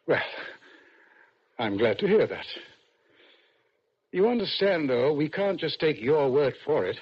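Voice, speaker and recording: A shrewd police interrogator with a husky voice and swooping intonation. He coaxes and insinuates with calculated hesitations, in old-time radio suspense style on a dull vintage recording. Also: fairly high pitch, big pitch swings, heavily acted. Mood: probing. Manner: deliberate, hesitant feints, coaxing.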